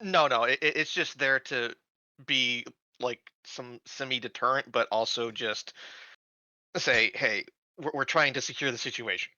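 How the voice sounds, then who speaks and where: A man's voice: mid-range voice, measured pace, sharp tone, no room sound, muffled recording. A man playing a tabletop game and chatting casually, often repeating his first words.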